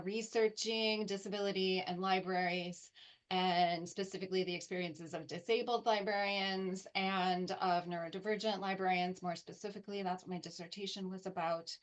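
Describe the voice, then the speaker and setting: A woman researcher speaking reflectively on a panel discussion. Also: medium pitch.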